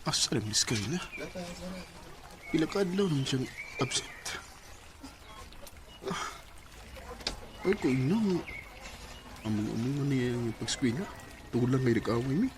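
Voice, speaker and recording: A man's voice: mid-range voice, measured pace, pauses often, lightly acted. An actor playing an evasive, easily flustered young male student in a radio drama, with a Filipino Bisaya accent and a hesitant, stammering delivery. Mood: irritated. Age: young adult. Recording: noisy radio drama recording with ambience.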